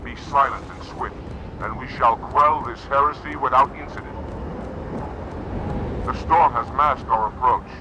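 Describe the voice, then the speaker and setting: A man acts as a stern alien military commander from a sci-fi game. He speaks formally and with authority, in a mid-pitched voice. The sound is muffled, with loud engine noise around him. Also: natural voice. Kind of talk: scripted sci-fi video game acting.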